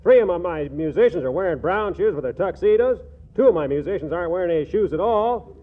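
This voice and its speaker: A man's voice: high voice, animated, fast. A man playing an exasperated, put-upon orchestra leader in a 1940s radio comedy sketch. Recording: tinny, narrow 1940s radio broadcast.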